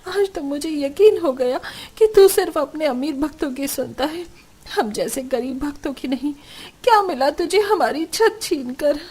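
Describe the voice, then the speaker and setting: A woman's voice: high-pitched, moderate pace, darkish tone, lightly acted. A woman voicing a poor, weary, lamenting family woman in a melodramatic Hindi cartoon story. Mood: anguished. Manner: plaintive, melodramatic.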